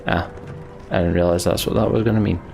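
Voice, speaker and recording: A man's voice: deep voice, steady tone, quick pace, creaky, a little mellow, soft background music. A man hosting a let's play, reading game narration aloud and adding his own commentary.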